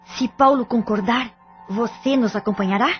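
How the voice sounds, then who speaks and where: A woman's voice: on the high side, moderate pace, lightly acted. A woman playing an anxious wife with a troubled home in an audio drama. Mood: worried, grateful. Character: anxious wife seeking help for her haunted home. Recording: muffled lo-fi audio with music.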